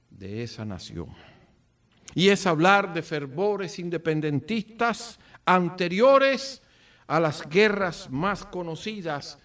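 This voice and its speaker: A Cuban man with a Cuban accent giving a formal commemorative speech, solemn and rhetorical, with deliberate phrasing and dramatic pauses. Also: on the high side, a bit bright. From Cuba. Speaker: speaker at a book presentation. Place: event at an office venue. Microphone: far from the speaker. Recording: distant microphone.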